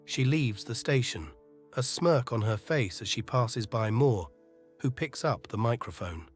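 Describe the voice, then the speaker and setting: A man reads scripted audio drama narration. His mid-pitched voice tells the story in an atmospheric, literary way, with faint music behind it. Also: lively intonation, a little slow, breathy edge.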